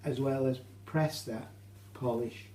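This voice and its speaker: A British man giving an informal, halting how-to demonstration, explaining each step as he goes. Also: medium pitch, fairly even intonation, dark tone. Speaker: hobbyist demonstrator. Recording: roomy echo, faint hum.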